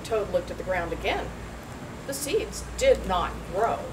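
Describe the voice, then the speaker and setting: A woman reading a children's story aloud in an expressive, deliberate storytelling manner. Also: medium pitch. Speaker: school staff member reading a story. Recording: distant mic, loud background noise.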